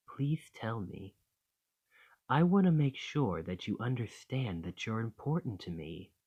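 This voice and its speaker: A man's voice: fairly low pitch, phone-quality sound. A man playing an affectionate, slightly possessive dragon boyfriend in an ASMR romantic roleplay, speaking tenderly and reassuringly.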